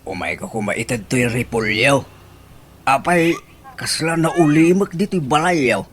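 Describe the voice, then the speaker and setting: A man's voice: mid-range voice, animated, unhurried, a bit bright, slight background noise. A male actor playing a stubborn, lovesick older man in a radio comedy drama. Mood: chatty.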